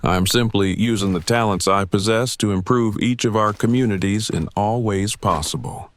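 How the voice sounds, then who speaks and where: A man's voice: lowish voice, normal speed, lightly acted. A man acting in an audio drama as a formal, precise man in his thirties who speaks in long, pedantic phrases and is devoted to his partner. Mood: earnest, precise.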